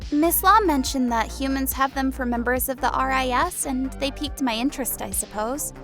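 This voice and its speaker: Wanita memerankan alien berbulu muda yang pemalu, bersuara tinggi. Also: female voice, sits high, normal speed, faint music behind, voice pushed up. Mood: shy curiosity. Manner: hesitant, softly spoken, polite. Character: timid, polite young female furry alien. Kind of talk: audiobook character acting.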